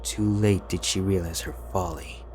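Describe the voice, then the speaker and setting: Deep monotone guy reading a fanfic audiobook, music playing under him. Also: moderate pace. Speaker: audiobook narrator. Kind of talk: dramatic fanfic audiobook narration. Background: background music.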